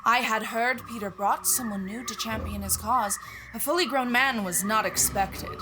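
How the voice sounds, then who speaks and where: An actress playing a proud, wise giant talking bird who speaks formally and cryptically in an audio drama, disdainful of men. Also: female voice, fairly high pitch, normal speed, background noise, close to an everyday voice. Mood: haughty.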